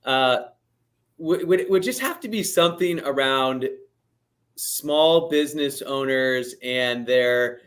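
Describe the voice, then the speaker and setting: A man's voice: mid-range voice, takes it slowly, fairly bright tone. A man appearing as a guest wealth manager, hesitant and searching for his words. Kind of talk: casual interview chat.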